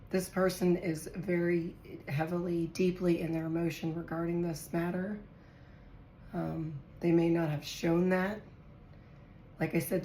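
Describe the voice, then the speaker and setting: Hoarse low-voiced woman reading tarot. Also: a little mellow. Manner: chatty, thinks aloud while drawing cards. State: hoarse, raspy from a virus. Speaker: tarot reader. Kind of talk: casual tarot reading to viewers. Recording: echoey room with faint noise.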